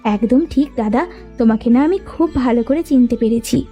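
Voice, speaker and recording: Plucky young village heroine for a cartoon, female actor: high pitch, rounded dark tone, earnest and resolute. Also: normal speed, natural voice. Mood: feigned innocence. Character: bold, determined young village girl and her sisters. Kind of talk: cartoon story dubbing. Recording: music behind the voice. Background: background music.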